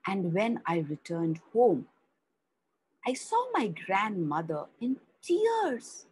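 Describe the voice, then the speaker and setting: A woman narrating a children's story slowly, explaining as she goes and leaning on key words. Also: medium pitch, wildly swooping pitch, a little mellow, slight background noise. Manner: slow, explaining, with rising emphasis on key words.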